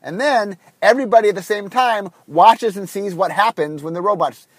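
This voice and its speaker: Man with a cold-husky voice. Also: high voice, normal speed, no room sound. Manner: rambling, full of ums and restarts. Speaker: podcast host. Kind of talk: casual solo podcast storytelling. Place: car, recording on the drive to work.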